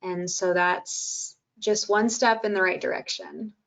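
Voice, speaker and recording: A woman's voice: mid-range voice, a little slow. Soothing woman hosting a webinar. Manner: calm, gentle, with frequent fillers. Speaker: webinar presenter and podcast host. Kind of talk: webinar presentation.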